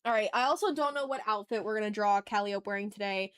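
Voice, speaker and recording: A woman's voice: medium pitch, quick pace, a bit bright, smooth. A woman chatting casually and playfully with her viewers as she hosts a live art stream. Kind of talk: casual livestream chat while drawing.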